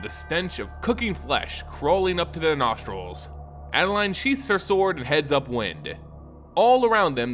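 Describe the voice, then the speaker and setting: A man narrating a scripted fantasy story in a dramatic, solemn and measured storytelling manner. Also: fairly high pitch, a bit bright. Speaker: lore narrator.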